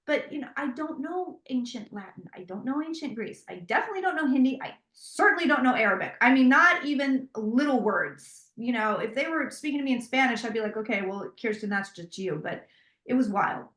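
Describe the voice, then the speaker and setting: A woman who reads tarot, telling stories in an animated, conversational way with frequent restarts. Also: high-pitched, brisk, echoey room. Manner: animated storytelling with many restarts. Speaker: psychic tarot reader. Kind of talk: interview conversation.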